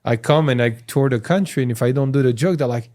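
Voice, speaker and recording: A man's voice: mid-range voice, quick pace. A man with a French accent, a comedian guest chatting casually on a podcast and acting out quotes as he tells stories. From France. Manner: animated storyteller, acts out quotes.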